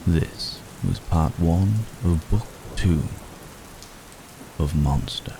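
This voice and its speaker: Dude narrating credits, crazy low gravelly voice, rain n thunder behind. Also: male voice, extremely slow, background noise. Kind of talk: scripted sign-off.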